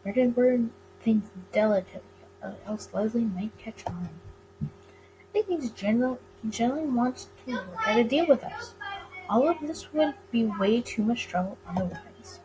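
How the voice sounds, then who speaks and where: Female game reader, slightly high voice, narrating a story with small stumbles, faint background noise. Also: lively intonation, moderate pace. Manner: stumbles over words and rereads them. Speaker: let's-play reader of a visual novel. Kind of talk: reading a visual novel aloud, narration and character lines.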